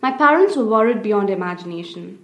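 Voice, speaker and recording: A young woman from India with an Indian accent, an undergraduate student reading her personal essay aloud in an earnest, measured way. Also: mid-range voice, lively intonation, a bit bright, lo-fi sound. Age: young adult. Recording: roomy echo.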